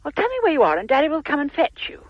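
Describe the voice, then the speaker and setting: High-pitched scolding mother on telephone. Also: wildly swooping pitch, rapid-fire. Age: middle-aged. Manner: scolding, curt. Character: sharp, disapproving middle-aged mother on the telephone. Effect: telephone filter. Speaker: actress in radio drama. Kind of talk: radio drama acting. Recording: muffled, band-limited telephone line.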